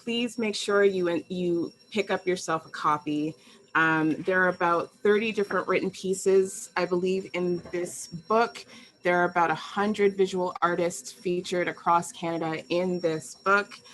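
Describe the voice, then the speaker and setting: A woman hosts a streamed interview, warmly gushing over her guest's work and filling gaps with "um". Her voice is on the low side for a woman. She frames long, searching questions. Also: steady tone, a little slow, slight background noise, poor audio. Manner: effusive and complimentary, with "um" fillers. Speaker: host and interviewer.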